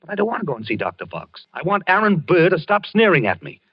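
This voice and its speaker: A tormented husband from a vintage radio thriller, agitated and obsessive. His voice is rough-edged and leaps up and down as he halts and repeats himself. The old recording is dull and muffled. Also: high voice, wildly swooping pitch, fast talker, no room sound, full character voice. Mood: troubled, brooding. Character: tormented husband haunted by dreams of a duel. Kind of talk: old-time radio drama acting.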